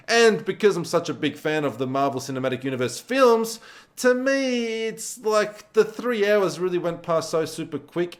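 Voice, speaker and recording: Male vlog-style movie reviewer, average pitch, chatty conversational delivery with repeated words for emphasis. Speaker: film reviewer. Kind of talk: casual spoken movie review to camera.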